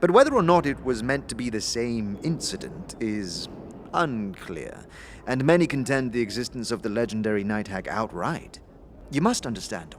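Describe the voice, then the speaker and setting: A man voicing a mischievous, worldly fixer in an audio drama, telling old lore in a wry, measured way. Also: on the low side, slight background noise. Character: Sebastian, mischievous worldly male fixer, knowing lore-teller.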